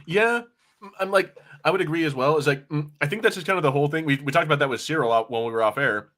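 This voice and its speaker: A man's voice: a little high, fast, fairly bright tone. A man hosting a podcast, chatting informally and loosely as he reviews, often revising himself mid-thought. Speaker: podcast host. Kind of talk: casual review chat.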